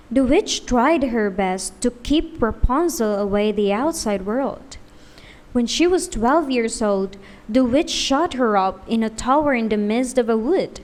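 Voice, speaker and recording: A woman's voice: a little high, a little mellow. A woman reading a scripted fairy tale as a storyteller.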